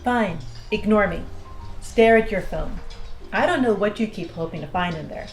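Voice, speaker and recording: A flippant female pilot acted by a woman who has no time for rules. She is teasing and breezy at a middle pitch, in naturalistic audio drama with a roomy sound and soft music. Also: normal speed, lightly acted. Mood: dismissive.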